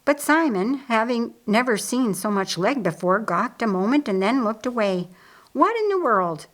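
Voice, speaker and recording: A woman reading historical fiction aloud as an expressive audiobook narrator. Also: fairly high pitch, moderate pace.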